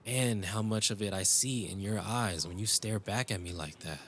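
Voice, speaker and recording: Low-voiced, world-weary cyberpunk dreamer acted by a man. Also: moderate pace, a bit bright, a little hiss or hum. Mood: tender, intimate. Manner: intimate, reflective, switching into Tagalog phrases. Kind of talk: scripted romantic audio roleplay.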